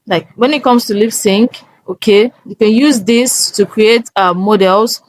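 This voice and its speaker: Slow-paced woman giving a tutorial. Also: mid-range voice, no room sound. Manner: instructive, often checking in with "okay?". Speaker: tutorial presenter. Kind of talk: casual step-by-step screen tutorial.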